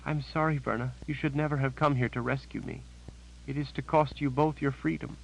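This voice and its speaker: A man's voice: mid-range voice, a little fast, gravelly, pitched above the performer's own voice, poor audio. A man voicing a frightened, pained captive woman who speaks in a trembling whisper. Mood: tearful.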